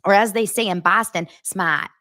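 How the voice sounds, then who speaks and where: A woman's voice: medium pitch, moderate pace, bright, forceful tone. Giggly chatty woman podcast host. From United States. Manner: chatty, giggly, self-mocking. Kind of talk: casual pop-culture chat.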